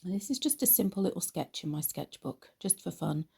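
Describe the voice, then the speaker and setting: A woman hosting an art tutorial, narrating in an unhurried, informal way, her voice slightly croaky after a cold. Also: fairly low pitch, animated, warm tone. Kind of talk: relaxed painting tutorial narration.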